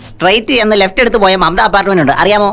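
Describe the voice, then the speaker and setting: A man's voice: high voice, a bit bright. An actor dubbing an eager, gullible and cheeky male comic character. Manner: gullible, cheeky, quick reactions. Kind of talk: dubbed film comedy.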